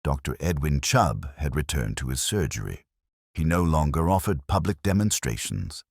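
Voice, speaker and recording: Male narrator for detective fiction: extremely deep, measured, literary, expressive pitch movement, dry recording. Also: vocal fry, no room sound. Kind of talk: scripted mystery story narration.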